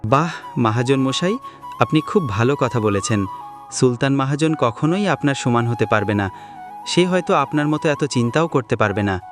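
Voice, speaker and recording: A man voicing a humble, flattering poor farm labourer in a cartoon, speaking in a deferential, pleading way. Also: mid-range voice, moderate pace, soft background music, natural voice. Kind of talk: cartoon dialogue acting.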